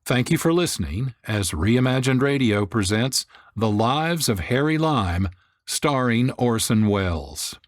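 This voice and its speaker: A man's voice: mid-range voice, lively intonation, measured pace, a little hiss or hum. A man, hosting and reading a scripted radio introduction. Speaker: host and producer.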